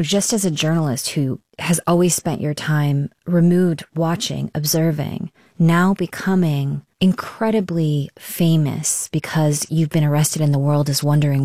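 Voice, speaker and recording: A woman conducting a broadcast interview, asking measured, probing questions. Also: low-pitched, warm tone, dry recording, studio quality.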